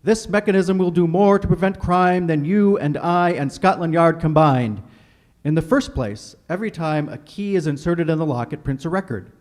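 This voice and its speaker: Man playing formal old-time radio announcer, highish voice. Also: moderate pace, darkish tone, lightly acted. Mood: courteous, persuasive. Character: Paul Hartman, courteous male radio announcer and sponsor pitchman. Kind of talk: recreated 1940s radio announcing, scripted narration.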